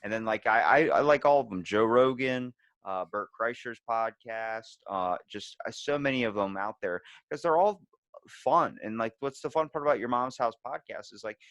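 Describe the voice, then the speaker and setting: A man's voice: mid-range voice, normal speed, fairly bright tone, no room sound. A man hosting a podcast in casual chat, rambling along in slang with some swearing. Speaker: podcast host.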